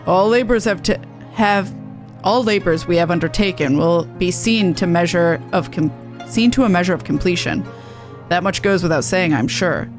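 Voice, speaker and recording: A woman's voice: on the low side, moderate pace, close to an everyday voice. Woman reading earnest, courteous refugee leader over music. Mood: earnest, reassuring. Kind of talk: reading game dialogue aloud.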